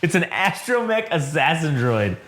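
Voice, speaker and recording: A man's voice: fairly high pitch, very animated, normal speed, fairly bright tone, roomy sound, a little hiss or hum. A man voicing a brash, wordy woman tech rogue, glib and sardonic with a nervy edge. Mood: matter-of-fact pitching.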